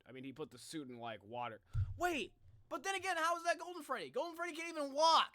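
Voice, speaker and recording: Young guy streamer reacting live, super bright forceful voice, close dry mic, slangy n excitable. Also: extremely high pitch, fast talker, no echo. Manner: excitable, slangy, thinks aloud. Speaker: streamer reacting to game videos.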